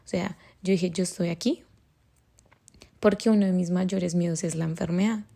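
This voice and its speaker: Colombian woman rambling thru her story, soft mellow voice, lots of fillers. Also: on the low side, steady tone, moderate pace, vocal fry. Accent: Colombian Spanish. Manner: rambling with many fillers and restarts. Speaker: podcast host. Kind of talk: casual personal storytelling.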